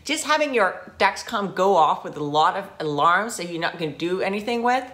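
Guest, woman, 40s, from Denmark, bright chatty voice, home living room. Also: on the low side, normal speed. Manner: friendly, chatty, animated with hand gestures. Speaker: guest, founder of a website. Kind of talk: casual talk to camera.

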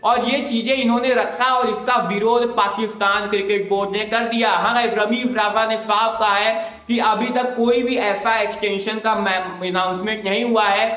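A young man presenting an energetic cricket news roundup to camera, gesturing with his hands and repeatedly calling out to his viewers. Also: high-pitched, moderate pace, few pauses. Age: young adult. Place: small indoor room with plain wall. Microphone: clip-on lapel mic. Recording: roomy echo.

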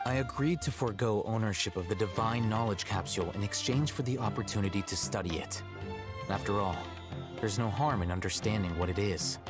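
A man voicing a calm, aloof, calculating young scholar in a video game, speaking in a measured, dry, formal way. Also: lowish voice, lightly acted. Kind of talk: scripted video game dialogue.

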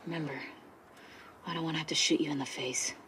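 A woman's voice: sits low, steady tone, quick pace, a bit bright, slight background noise. A young American woman playing a confident, teasing survivor in a video game, talking casually and directly. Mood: concerned, teasing. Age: young adult. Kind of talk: naturalistic video game acting. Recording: music behind game dialogue.

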